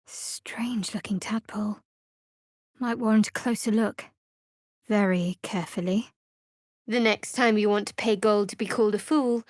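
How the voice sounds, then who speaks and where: An actress playing a guarded, sardonic young woman cleric devoted to a dark goddess in a video game, with dry, wry, measured delivery. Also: on the high side, no echo. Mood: hushed, wistful. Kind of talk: video game character voice lines.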